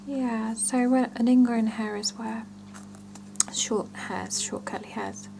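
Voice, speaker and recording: A woman doing an ASMR salon roleplay, talking gently and reassuringly to a client in a breathy whisper mixed with soft voicing. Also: fairly high pitch, steady tone, noisy background. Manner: slow, gentle, reassuring, with murmured pauses. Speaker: ASMR roleplayer playing a salon scalp-check attendant. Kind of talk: ASMR roleplay, one-sided chat with a client.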